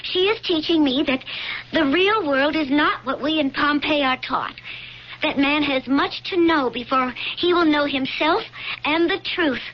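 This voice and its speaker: A woman's voice: high voice, a little slow. A woman in a radio drama playing an earnest, loyal young blind slave girl who pleads and insists. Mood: solemn, insistent. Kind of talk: old-time radio drama acting. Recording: dull, noisy radio drama recording.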